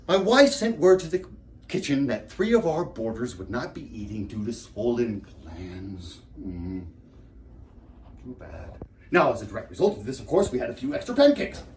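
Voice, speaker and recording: An actor playing a nervous, henpecked husband who rambles and pities himself through a theatrical comic lecture monologue. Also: male voice, medium pitch, natural voice. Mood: bitter, exasperated. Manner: digressive, self-pitying, exclaiming then trailing off. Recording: roomy, echoing, distant mic with background noise.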